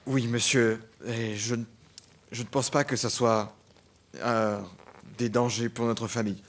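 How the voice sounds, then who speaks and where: A man's voice: medium pitch, level tone, a little slow, a bit bright, natural voice. A man playing a bitter young criminal antihero. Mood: subdued, deferential. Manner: sarcastic, intense, literary phrasing. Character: bitter, sardonic young male criminal cursed with healing flesh. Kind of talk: audio drama acting with first-person narration.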